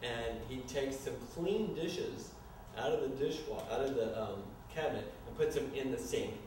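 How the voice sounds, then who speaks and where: A man narrating stage directions at a live staged reading in an informal, halting way. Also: mid-range voice, darkish tone. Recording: echoey, noisy room from far off.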